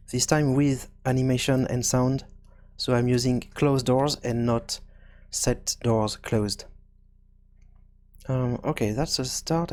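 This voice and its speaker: A man presents a screencast tutorial at a slow pace. His pitch sits a little high for a man. He talks hesitantly, working things out aloud as he goes. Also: a little mellow. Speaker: video game programming tutorial host.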